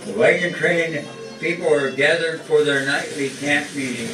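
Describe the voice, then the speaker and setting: A man's voice: on the high side, moderate pace, distant mic. A man as the announcer, introducing the episode of a stage radio parody. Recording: roomy stage from afar, music.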